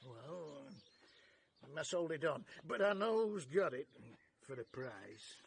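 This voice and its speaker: An actor playing a drunken, surly, grasping tramp, gravelly and slurred, in a vintage radio drama. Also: male voice, fairly high pitch, very animated, slow, full character voice. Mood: sly, greedy.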